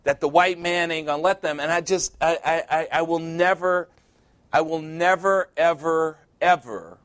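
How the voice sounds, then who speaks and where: Emphatic preacher in his sixties. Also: fairly high pitch. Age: 60s. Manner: emphatic, repetitive, building to loud peaks. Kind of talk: sermon.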